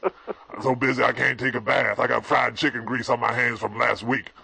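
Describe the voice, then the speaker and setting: A man on a comic prank call, rambling and complaining over and over, sometimes shouting at someone in the room. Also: medium pitch, level tone, normal speed, creaky, slightly rough. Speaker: prank caller. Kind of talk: comic phone rant.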